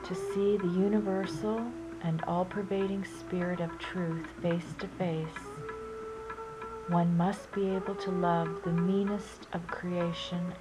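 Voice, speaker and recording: A woman reads scripted inspirational sayings as a narrator. Her voice sits a little low and she moves at a very slow, deliberate pace with a solemn delivery. Prominent Indian classical flute music plays behind her. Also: low-quality recording. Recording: loud music under the voice.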